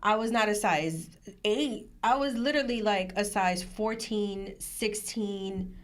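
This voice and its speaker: A woman's voice: medium pitch, slow pace. A woman guest on a podcast, chatting casually, telling stories with lots of laughter and acting out what other people said. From Puerto Rican family, from New Jersey. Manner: animated storyteller, laughs often, acts out quotes. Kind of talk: casual interview chat.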